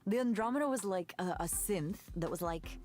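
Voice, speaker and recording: A woman's voice: mid-range voice, lively intonation, moderate pace, a little mellow, faint music behind, close to an everyday voice. An actress playing an eccentric, independent woman who loves synthesizers, talking in a chatty, enthusiastic way. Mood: musing. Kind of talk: video game voice acting.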